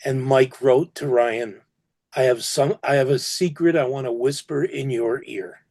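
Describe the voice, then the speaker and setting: A man's voice: mid-range voice, measured pace, darkish tone. A man speaking as a podcast guest in casual, conversational interview chat.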